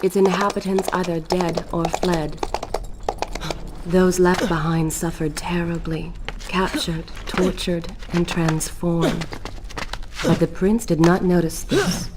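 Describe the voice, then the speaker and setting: Slow solemn story narration, lowish voice, some noise behind. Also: female voice, fairly even intonation. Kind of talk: scripted game narration.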